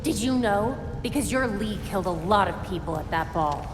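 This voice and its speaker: Determined young female detective played by an actress, earnest, mid-pitched, reverberant with background noise. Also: animated, a little fast, over music, close to an everyday voice. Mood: probing. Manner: earnest, businesslike. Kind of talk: dramatic video game cutscene acting.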